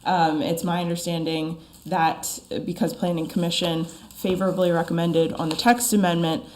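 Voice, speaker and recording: A woman attorney speaking formally at a town council meeting, her voice froggy and hoarse from a lingering cold, measured and methodical. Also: fairly low pitch, little pitch movement, a little mellow, slight background noise. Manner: measured and methodical, with frequent ums. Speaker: attorney for an applicant. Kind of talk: formal public meeting presentation.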